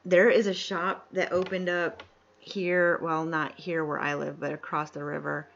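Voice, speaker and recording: A woman's voice: low-pitched, level tone, unhurried, fairly bright tone, a little hiss or hum. A woman from upstate New York chatting casually while live-streaming a game, laughing often and reading chat aloud. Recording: dull lo-fi mic with faint music.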